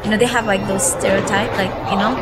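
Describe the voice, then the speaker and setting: A woman's voice: medium pitch, fairly even intonation, racing. A young woman, telling stories casually as an interview guest and acting out what people said. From South Korea. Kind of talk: casual chatty storytelling. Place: busy subway station. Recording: loud station crowd and music behind.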